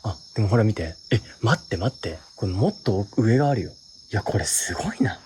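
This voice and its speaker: A man's voice: on the low side, animated, a little fast. A man hosting a ghost hunt with casual on-location chat, recorded outdoors at night. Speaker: host of a ghost-hunting video, leads the exploration. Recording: very noisy outdoor recording, insects, clicks. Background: loud crickets, insects, clicking.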